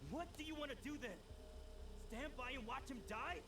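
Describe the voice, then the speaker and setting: A man's voice: very high-pitched, normal speed, noisy background. An actor voicing a desperate, stubborn young male researcher in a video game, speaking defiantly. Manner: defiant, determined.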